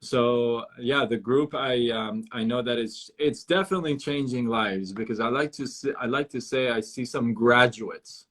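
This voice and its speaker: Male host, halting delivery with stutters and repeats, relaxed interview chat. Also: mid-range voice.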